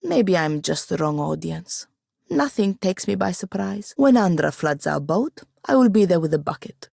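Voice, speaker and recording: A proud young woman wizard from a fantasy video game. Her voice sits low and has a gravelly creak. She speaks in formal phrases with a dry, sardonic edge, in a very dry, close recording. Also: big pitch swings, moderate pace, darkish tone, no echo, exaggerated voice. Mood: dry defiance. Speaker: game companion character. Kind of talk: scripted video game dialogue.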